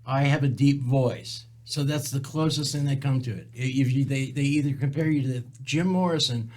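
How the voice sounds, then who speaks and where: A man's voice: medium pitch, normal speed, a little mellow. A man who is a singer-songwriter, a guest on a radio talk show. He rambles a little, drops band names and makes fun of himself. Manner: rambling, self-deprecating, lists band names. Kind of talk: casual studio chat. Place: internet radio studio.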